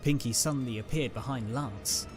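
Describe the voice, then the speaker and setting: Medium-pitched man narrating a dramatic story. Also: normal speed, loud music behind the voice. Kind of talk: scripted dramatic fan fiction narration.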